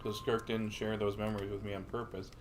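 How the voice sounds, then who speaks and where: A male streamer with a fairly low voice reads game lines aloud and comments on them. He muses as if thinking out loud, and game music plays clearly behind him. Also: level tone, fairly quick.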